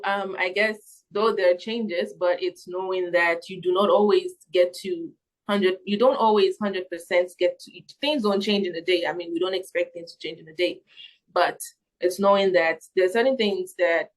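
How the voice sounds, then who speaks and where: A young adult woman with a slightly low voice answers interview questions reflectively. She sounds earnest and thinks aloud, often halting as she works toward her point. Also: steady tone, rough recording. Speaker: missionary interviewee.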